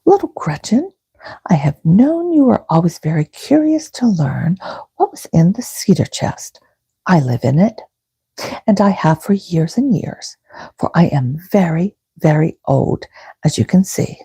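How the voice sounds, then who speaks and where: A kindly old storybook witch, voiced by a woman, whose voice stays soft and young because she is happy. She speaks in a whispery, breathy hush, slowly and gently. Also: on the low side, very animated, exaggerated voice. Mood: kindly, confiding. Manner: gentle, unhurried storybook delivery.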